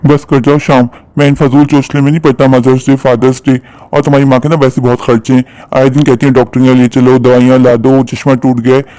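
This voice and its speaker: A selfish, irritable grown son voiced by a man in a cartoon tale. He scolds impatiently at a quick clip, in a very dry recording. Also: medium pitch, flat delivery, mellow, dry recording, lightly acted. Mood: dismissive. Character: callous, irritable grown son resenting his mother.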